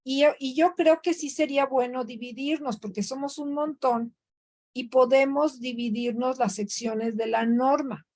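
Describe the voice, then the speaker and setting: A woman's voice: sits high, fairly even intonation, measured pace, a little mellow. A Mexican woman teaching a workshop over a video call, talking conversationally with many fillers and self-corrections. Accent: Mexican Spanish. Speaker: workshop instructor. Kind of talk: online training session.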